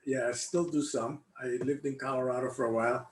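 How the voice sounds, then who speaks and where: An older man from Cuba answers casual interview questions about his life, often pausing to search for his words. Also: mid-range voice, fairly even intonation, a crowd in the background. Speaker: interviewee, congregation member. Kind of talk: casual personal interview, recollecting. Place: indoor room, online video call. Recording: echoey room, faint background noise.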